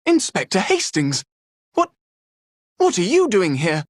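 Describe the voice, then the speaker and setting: Excitable, well-spoken young gentleman. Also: high-pitched, a little slow, no echo, studio quality, full character voice. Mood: startled. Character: polite, flustered young gentleman, unmasked as schemer. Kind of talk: scripted game voice acting.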